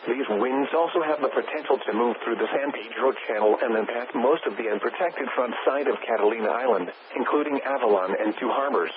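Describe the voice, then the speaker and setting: A narrow, tinny radio signal with clear noise carries a synthetic American man's voice. He sits at a middling pitch and reads formal weather bulletins as an automated announcer. His delivery is flat and clipped at each heading. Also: fairly quick, few pauses. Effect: weather radio broadcast.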